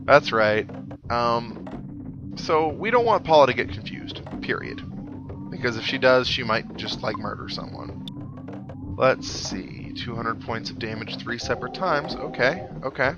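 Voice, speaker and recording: A man's voice: mid-range voice, wildly swooping pitch, unhurried, dull audio. Man doing casual game commentary over music. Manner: muses aloud, thinking through tactics in asides. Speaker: let's player. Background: video game music.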